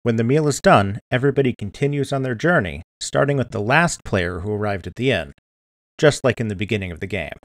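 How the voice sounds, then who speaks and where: A man giving scripted instructional narration in a clear, methodical, step-by-step manner, as a tutorial presenter. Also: mid-range voice, lively intonation, fairly quick, no echo, studio quality. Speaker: board game tutorial presenter.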